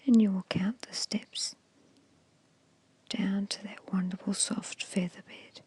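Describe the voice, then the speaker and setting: A woman guiding an ASMR meditation in a hushed, soothing, breathy whisper with short, measured phrases. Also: medium pitch, fairly even intonation. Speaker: hypnosis and meditation guide.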